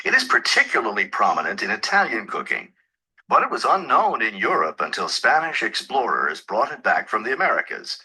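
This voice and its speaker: A man's voice: on the low side, pushed and bright, slightly rough, exaggerated voice, rough recording. A man playing an informative lecturer, reading a scripted academic talk in an instructive, emphatic way for a listening test. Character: informative lecturer explaining food history.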